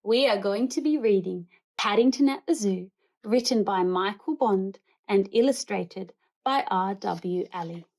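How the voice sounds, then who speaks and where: A woman reading a picture book aloud to children in an expressive, animated storytelling way. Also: mid-range voice, a little slow. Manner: animated, acts out characters and animal noises.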